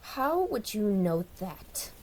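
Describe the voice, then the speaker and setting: A woman's voice: medium pitch, very animated, slow, darkish tone. A woman voicing a protective, reproachful older sister from a tribe, pleading and scolding in a dramatic manga dub. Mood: alarmed, doubtful.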